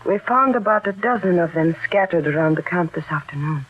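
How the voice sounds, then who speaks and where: A man's voice: sits high, expressive, brisk, slight background noise. An actor playing an earnest, practical man at a refugee camp, questioning others in an old-time radio drama. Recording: dull old radio recording.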